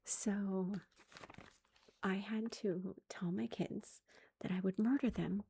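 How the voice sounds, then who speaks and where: A woman in her thirties, a mother, telling a story in a soft whisper, chatty and amused. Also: medium pitch, steady tone, slow, creaky, a little hiss or hum.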